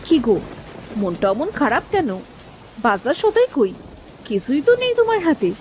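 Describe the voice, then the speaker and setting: A woman's voice: high voice, normal speed. A woman playing a worried, scolding village wife and mother in a cartoon, with rain heard behind her. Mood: worried, reproachful. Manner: nagging, exasperated. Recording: steady rain noise behind voice.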